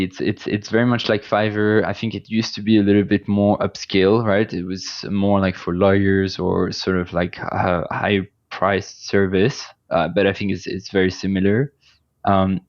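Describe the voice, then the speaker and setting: A man with a French accent speaks in a low, fairly flat voice, often restarting his sentences and filling pauses as he talks things through. The recording sounds a little dull and lo-fi. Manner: hesitant, many restarts and fillers. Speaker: agency founder, guest. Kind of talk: casual interview conversation.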